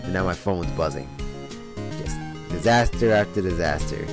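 A young man with a hoarse voice, giving casual commentary on a game and rambling through laughing asides. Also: sits low, normal speed, music in the background. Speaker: let's play host.

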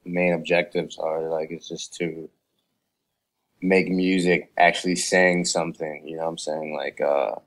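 A man in casual chat as a music artist guest, slangy and halting as he explains himself. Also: low-pitched, flat delivery.

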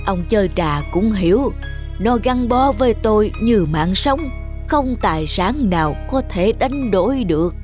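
A woman voicing a poor, humble old man who is a tea connoisseur in a cartoon folktale, speaking in a slow, courteous, old-fashioned way. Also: medium pitch, lively intonation, darkish tone, soft background music, natural voice. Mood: humble polite. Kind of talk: dubbed cartoon folktale acting.

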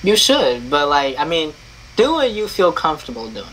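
A man's voice: a little high, big pitch swings, normal speed, a bit bright, slight background noise, low-quality recording. A young man chatting with a stranger on a video call, laid-back and full of fillers. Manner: laid-back, filler-heavy, says like and basically a lot. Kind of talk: casual chat.

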